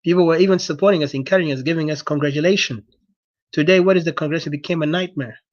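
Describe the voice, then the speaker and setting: A man's voice: fairly high pitch, racing, no echo. South Sudanese man, dull lo-fi sound. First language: not English. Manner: exhorting, repetitive, earnest. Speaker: activist speaker addressing supporters. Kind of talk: impassioned political address, unscripted.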